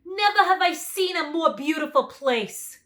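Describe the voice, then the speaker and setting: Woman playing male storybook lion, big and cheerful, confident, emphatic, high raised voice. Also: lively intonation, measured pace, a bit bright. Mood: joyful.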